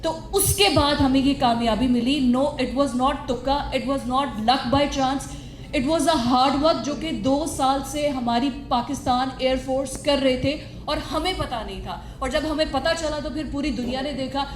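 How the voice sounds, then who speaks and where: Female event host, Pakistani accent, high voice, rapid-fire delivery, echoey room. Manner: switches between Urdu and English. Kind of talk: live event hosting speech. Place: indoor reception room. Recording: echoey room with faint noise.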